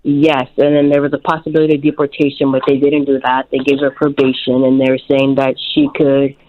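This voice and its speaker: A caller on a dull, muffled phone line lays out a friend's story step by step. The voice is mid-pitched, and the telling stays steady and full of detail. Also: brisk.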